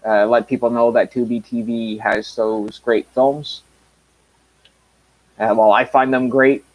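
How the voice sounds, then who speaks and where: A man in his mid-thirties talks loosely on his own live stream, wandering between thoughts with plenty of uhs and restarts. His voice sits at an ordinary middle pitch for a man. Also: level tone, unhurried, poor audio. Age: 30s. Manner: rambling, many fillers and restarts. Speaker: channel host. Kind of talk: casual live stream chat.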